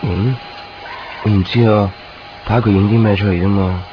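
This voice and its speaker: A man's voice: fairly low pitch, steady tone, moderate pace, background noise, natural voice. An actor playing a brooding, lonely young man in a Cantonese radio drama from 1990s Hong Kong, with a Hong Kong accent. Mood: evasive. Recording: dull 1990s radio recording, music underneath.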